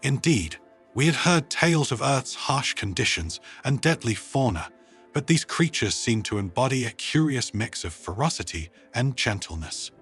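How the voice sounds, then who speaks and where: A man's voice: medium pitch, very animated, moderate pace, fairly bright tone, breathy edge, faint music behind. A man voicing a thoughtful, curious insectoid alien diplomat with formal wording in a narrated sci-fi story.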